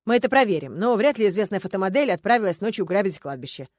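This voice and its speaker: A woman's voice: medium pitch, very animated, quick pace, dry recording. Casting: female reader as tired, matter-of-fact policeman, dry authority, audiobook crime story character. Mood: firm. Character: weary, businesslike male police investigator.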